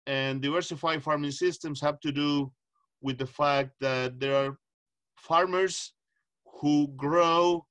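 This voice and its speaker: A man's voice: on the high side, fairly bright tone. A middle-aged man from Costa Rica answering as a panelist on a video call, thoughtful and earnest, with many filler sounds. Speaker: panelist, agricultural economist. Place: home, video call with virtual background. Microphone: webcam or laptop mic on video call.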